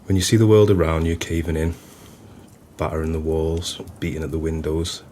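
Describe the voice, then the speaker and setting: An actor playing a grumbling, florid-tongued pub landlord in an audio play, with a theatrical manner. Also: male voice, extremely low pitch, little pitch movement, normal speed, warm tone, slight background noise. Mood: earnest.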